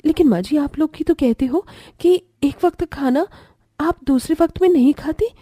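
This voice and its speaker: A woman playing a gentle, respectful, earnest young daughter-in-law from a poor family in a cartoon story. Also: high-pitched, moderate pace, no echo, studio quality, lightly acted. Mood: earnest, pleading.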